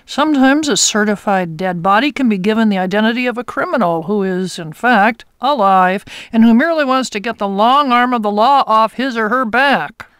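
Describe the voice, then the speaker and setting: An actress playing a pedantic, prim, know-it-all police detective in a comic radio mystery, with formal, precise diction. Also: female voice, a little high, expressive, normal speed, few pauses, a bit bright, clear, resonant tone, no room sound, clean studio sound. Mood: pedantic, lecturing.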